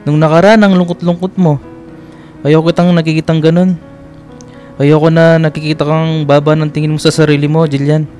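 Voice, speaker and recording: A man's voice: a little high, level tone, brisk, dark tone, faint music behind, close to an everyday voice. A man playing a devoted, sweet and teasing young boyfriend in a radio romance drama, with a Filipino accent and a tender, coaxing manner. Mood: tender, earnest.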